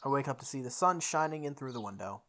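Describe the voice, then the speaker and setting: A let's play host reading a visual novel's story aloud in a deliberate way, with occasional stumbles. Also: mid-range voice, animated, fairly bright tone. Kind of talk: read-aloud narration of a game's story. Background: background music.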